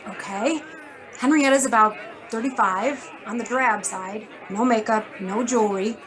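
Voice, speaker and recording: Woman playing high-voiced, sardonic magazine editor. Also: measured pace, crowd noise, lightly acted. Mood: businesslike. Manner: blunt, wisecracking, clipped. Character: brisk, sardonic, worried female magazine editor. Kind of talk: hard-boiled radio drama acting.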